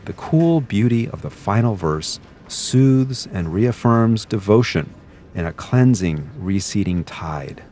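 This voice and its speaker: A man with a low, deep voice introduces a classical concert on the radio. His scripted narration is measured and even, the steady pacing of a seasoned broadcast announcer. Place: concert venue broadcast booth.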